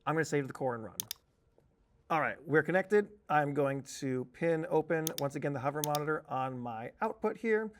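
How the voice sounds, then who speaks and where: Male training instructor, somewhat higher pitched, conversational tutorial delivery, explains step by step and leans on important words. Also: lively intonation, fairly quick, slight background noise. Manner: explanatory, cautionary, stressing key words. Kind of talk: conversational tutorial narration over a software demo.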